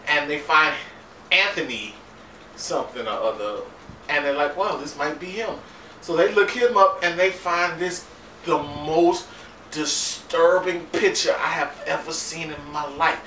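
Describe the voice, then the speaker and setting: A man's voice: on the high side, measured pace, bright, forceful tone. A man giving a casual comedic recap of a TV episode in an incredulous, laughing, profane way. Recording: roomy, distant mic, faint background noise.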